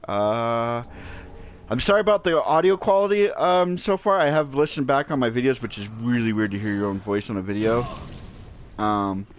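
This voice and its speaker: A man with a naturally deep voice giving relaxed, rambling gameplay commentary with many fillers, recorded at home on a cheap gaming headset mic. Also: moderate pace, long pauses. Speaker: gaming channel host. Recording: low-quality headset mic, faint hiss.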